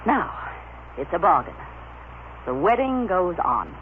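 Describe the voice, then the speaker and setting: An actress playing a coolly wicked, scheming woman in an old radio soap, with a theatrical, deliberate delivery. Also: fairly low pitch, sing-song. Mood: scheming, insistent. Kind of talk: old-time radio soap opera acting. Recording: muffled, noisy vintage radio recording.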